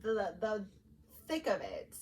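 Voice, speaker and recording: A woman's voice: medium pitch, slow pace, far from the mic. A woman in her thirties co-hosting a podcast, sharing personal stories in a candid, conversational way. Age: 30s. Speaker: podcast co-host. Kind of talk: casual personal storytelling. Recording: faint steady background noise.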